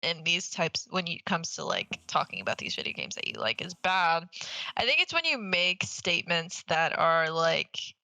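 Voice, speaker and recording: A woman's voice: on the low side, big pitch swings, moderate pace, bright, forceful tone, dry recording, poor audio. A woman co-hosting a podcast in casual banter, with a blunt, teasing manner. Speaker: podcast co-host.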